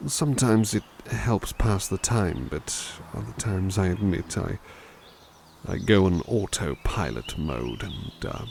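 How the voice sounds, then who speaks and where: A man playing a slow, gravelly kindly butler. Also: low voice, animated, a little hiss or hum, exaggerated voice. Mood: musing. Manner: soft, musing, thoughtful asides between poems. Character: gentle, kindly male butler reading aloud. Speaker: ASMR roleplay butler. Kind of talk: ASMR roleplay poetry reading.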